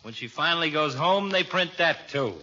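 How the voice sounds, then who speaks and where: An actor playing a folksy, joshing small-town barber in a 1940s radio comedy. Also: male voice, a little high, animated, moderate pace, bright, forceful tone, slight background noise. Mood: gossipy. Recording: dull vintage radio recording.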